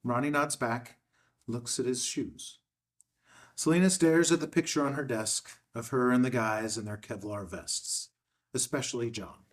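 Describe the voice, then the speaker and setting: A man's voice: mid-range voice, measured pace. A man reading a film script's stage directions as narrator, in a matter-of-fact way.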